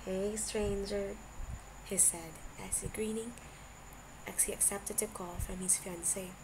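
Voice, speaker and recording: A woman's voice: medium pitch, steady tone, moderate pace, vocal fry. A woman speaking informally and somewhat tentatively as an amateur reader of fan fiction. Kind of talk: casual introduction and fiction narration. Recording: noisy amateur home recording.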